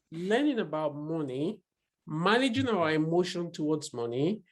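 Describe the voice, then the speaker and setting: A woman's voice: lowish voice, darkish tone. A woman hosting an online investment club interview, earnest and encouraging. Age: 40s. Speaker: host.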